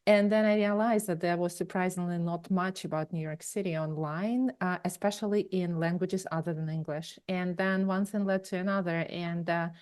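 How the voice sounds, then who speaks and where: A woman's voice: on the low side, fairly even intonation, moderate pace, hardly any pauses, darkish tone. A woman in her forties with a Ukrainian accent, a podcast guest telling her career story in long, rambling answers full of fillers and restarts. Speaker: guest, tech company founder. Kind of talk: conversational interview answers.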